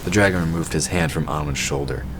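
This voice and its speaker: Very deep male narrator, noisy recording. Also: fast. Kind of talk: scripted fantasy story narration.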